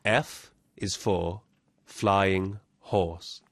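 A low-voiced man makes scripted chapter announcements, extremely slowly and drawn out. The sound is dry and close. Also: steady tone, no room sound.

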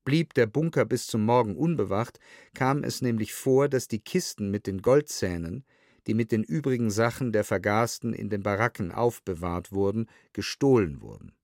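A man reading a scripted documentary audiobook in a sober, measured way, close to a studio microphone. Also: fairly low pitch, animated, a little mellow, no room sound. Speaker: reader of historical testimony.

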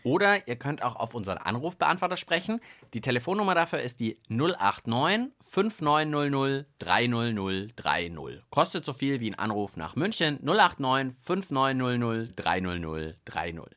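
Ein Mann, der als Co-Moderator eines Kinderpodcasts locker und umgangssprachlich plaudert. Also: male voice, mid-range voice, very animated, moderate pace, sharp tone. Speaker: co-host of children's science podcast. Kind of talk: casual banter.

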